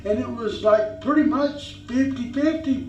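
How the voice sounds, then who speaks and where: A man's voice: very high voice, normal speed, a little mellow. A man in his fifties with a Southern US accent, hosting a podcast and telling stories in a rambling, folksy way. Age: 50s. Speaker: podcast host. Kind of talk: casual talk show chat. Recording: roomy, distant, dull sound.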